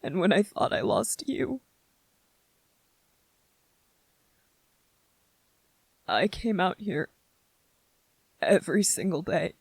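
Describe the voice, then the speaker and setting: A performer voicing a gentle, grieving young male soldier in an intimate ASMR roleplay, speaking haltingly and emotionally, close to tears. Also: medium pitch, animated, creaky, breathy edge, no room sound, full character voice, rough recording. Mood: yearning, sorrowful. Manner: halting, emotional, earnest, short broken phrases.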